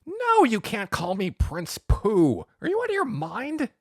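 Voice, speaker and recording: A man's voice: high-pitched, huge pitch swings, extremely slow, bright, forceful tone, no room sound, clean studio sound, voice pushed up, full character voice. A man voicing a scowling, easily exasperated young prince in a storybook, curt and indignant. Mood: outraged. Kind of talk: storybook character voice.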